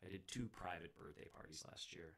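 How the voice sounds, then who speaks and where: Casual male talker, forties, very low-pitched, DJ in easy conversation. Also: brisk, vocal fry, a bit bright. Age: 40s. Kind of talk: casual chat.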